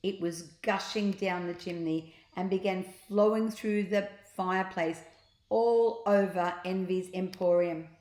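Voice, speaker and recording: Unhurried woman reading stories, echoey room. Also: lowish voice, steady tone, a little mellow. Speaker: storybook reader. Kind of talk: expressive children's read-aloud narration.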